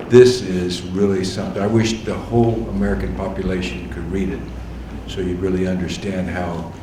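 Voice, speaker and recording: A man hosting an event, formally introducing the evening's speaker. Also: low-pitched. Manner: formal, welcoming. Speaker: event host. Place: event hall. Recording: echoey hall, distant mic, noisy.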